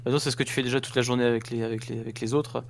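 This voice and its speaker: A man's voice: mid-range voice, level tone, very fast, slight background noise. A man talking casually on a podcast, laying out his view with stumbling repetitions. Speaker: podcast co-host. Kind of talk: casual roundtable chat.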